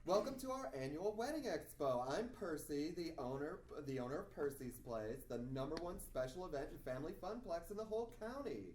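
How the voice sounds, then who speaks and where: A man puts on an upbeat, showy venue owner working as emcee at a table read. The voice is pushed up high and rings in an echoey room, a little off the mic. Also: animated, a little fast, hardly any pauses, darkish tone, lightly acted. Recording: echoey room, distant mic, faint noise.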